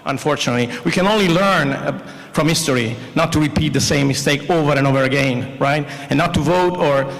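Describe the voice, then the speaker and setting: A man's voice: on the high side, fairly quick, vocal fry, fairly bright tone. Brief: accented male candidate, jovial, meandering delivery, long hall reverb. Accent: non-native English. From not from Shreveport. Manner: jovial, rambling, standing. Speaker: mayoral candidate. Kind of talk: campaign speech at a panel. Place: large indoor event hall.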